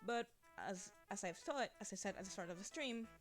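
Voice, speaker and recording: A woman's voice: medium pitch, lively intonation, fairly quick, soft background music. A young woman whose first language is Spanish, chatting casually on a livestream, rambling and laughing often into a desk microphone. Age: young adult. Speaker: streamer.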